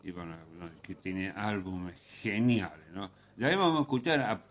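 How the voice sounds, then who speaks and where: A man hosting a radio music show, giving unscripted commentary in a halting way, often hesitating and correcting himself. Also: on the low side, big pitch swings, slight background noise.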